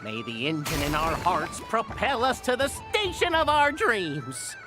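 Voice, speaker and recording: A woman's voice: on the low side, big pitch swings, unhurried. A woman voicing an earnest, uplifting clockwork storybook character in a video game, with grandiose phrasing. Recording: music behind the voice.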